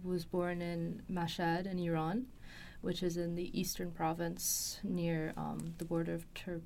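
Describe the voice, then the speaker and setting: Young woman, low pitch, flat intonation, hesitant, casual interview storytelling. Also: unhurried, creaky, a little hiss or hum. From Los Angeles, USA. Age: 20s. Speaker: interview guest. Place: recording studio.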